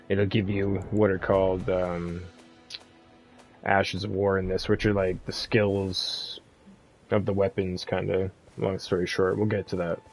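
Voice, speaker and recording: A man's voice: low-pitched, a little mellow. A man giving a casual, easygoing game walkthrough as a streamer. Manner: laid-back, easygoing. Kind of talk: casual game guide commentary.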